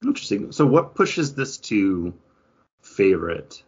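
Deep, husky man hosting casual chat. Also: very animated, unhurried, a little mellow. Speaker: podcast host. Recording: dull lo-fi audio.

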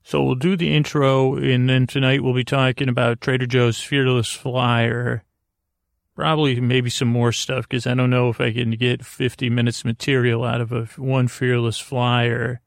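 A man hosting a sleep podcast in a rambling, halting monologue that stammers and wanders off on tangents, in a gravelly voice. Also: mid-range voice, level tone, no room sound, clean studio sound. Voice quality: creaky, gravelly. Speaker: podcast host. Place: closet, close dry recording.

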